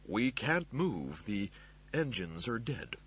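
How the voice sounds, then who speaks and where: A man's voice: on the low side, very animated, takes it slowly, slightly rough, heavily acted. A man voicing a meek, apologetic servant robot in an audiobook, deferential and hesitant. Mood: anxious.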